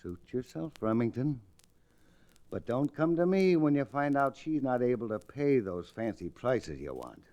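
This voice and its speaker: An actor with an American accent playing a smug, menacing cattle-buyer villain with an insinuating manner in a radio Western. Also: male voice, mid-range voice, big pitch swings, warm tone, dull audio. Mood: threatening. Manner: insinuating, drawn-out threats. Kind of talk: old-time radio Western acting.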